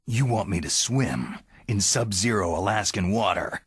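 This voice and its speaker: Hardened covert-ops soldier, severe rasp, low pitch, skeptical, clipped, dry close studio sound, game cutscene. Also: male voice, expressive, unhurried, no room sound, full character voice. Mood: terse, businesslike. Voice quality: very husky, gravelly rasp. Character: gruff, defiant retired special-forces soldier on a covert mission. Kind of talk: dramatic video game cutscene acting.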